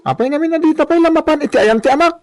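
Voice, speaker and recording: A man's voice: very high voice, very fast. A Filipino-accented man playing an aggrieved, stubborn young porter and son in a radio comedy-drama, plaintive and protesting.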